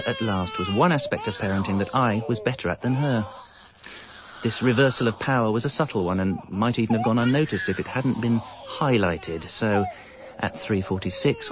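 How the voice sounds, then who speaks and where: A man plays a put-upon British father in a radio comedy, worn out and frustrated. His voice sits fairly low and he tells his woes with wry self-pity. The sound is muffled, with clear noise behind it. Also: natural voice. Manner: wry, self-pitying storytelling. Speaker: narrator and lead actor. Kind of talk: radio comedy monologue and dialogue.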